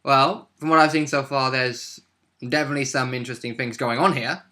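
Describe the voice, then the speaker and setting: A man's voice: medium pitch, steady tone, brisk, pushed and bright. A man casually talking through his turns as a player in a tabletop role-playing game.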